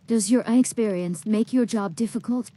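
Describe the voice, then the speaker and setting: A woman's voice: on the high side, normal speed, dark tone, close to an everyday voice. A synthetic woman's voice playing an inquisitive adventurer in a fantasy game. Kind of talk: synthesized game player dialogue. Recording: long hall reverb, music behind.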